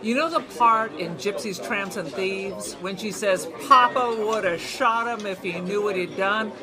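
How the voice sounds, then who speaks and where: A woman's voice: mid-range voice, a little slow, close to an everyday voice. A woman playing an opinionated, stubborn small-time crook in an audio drama, speaking bluntly. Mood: chatty. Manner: argumentative, blunt. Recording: busy crowd chatter behind.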